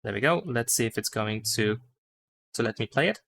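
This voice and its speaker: A man's voice: mid-range voice, animated, a little fast, fairly bright tone. A man giving a casual, unscripted step-by-step phone tutorial, thinking aloud with some hesitation. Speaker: tutorial host. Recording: clear background noise.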